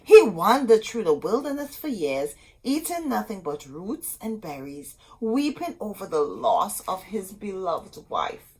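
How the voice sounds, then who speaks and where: A woman storyteller reading a storybook aloud expressively, in short dramatic phrases with pauses. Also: mid-range voice, big pitch swings, a little mellow, husky, poor audio.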